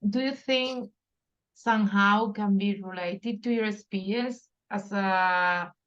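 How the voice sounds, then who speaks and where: Slow-paced woman interviewer, slightly dull audio. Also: mid-range voice, fairly bright tone. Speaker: interviewer, story coach. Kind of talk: supportive conversational interviewing.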